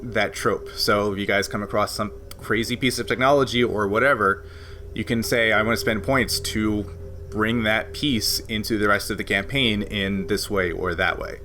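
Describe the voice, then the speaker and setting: A fairly low-pitched man with a bright, forceful voice explains the rules of a tabletop campaign in casual podcast chat. He talks at length and laughs easily. A faint hiss sits under the recording. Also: fairly even intonation, moderate pace. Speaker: game master and podcast host.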